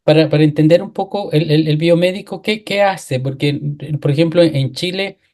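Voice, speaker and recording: A man's voice: a little high, normal speed, darkish tone. A man from Ecuador interviewing a guest, offering short encouraging acknowledgements and asking clarifying questions. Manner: brief backchannel, encouraging. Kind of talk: conversational interview.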